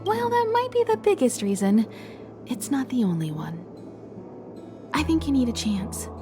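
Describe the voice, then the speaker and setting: A woman playing a composed, encouraging mentor in a video game, speaking in a gentle, reflective and reassuring way. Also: high voice, big pitch swings, unhurried. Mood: gently encouraging. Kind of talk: dramatic video game dialogue. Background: background music.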